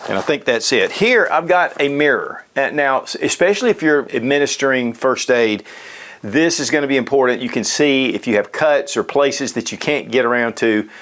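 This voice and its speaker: A man's voice: medium pitch, animated, normal speed, a bit bright. A man presenting gear in a casual product demonstration, explaining things step by step in a matter-of-fact way.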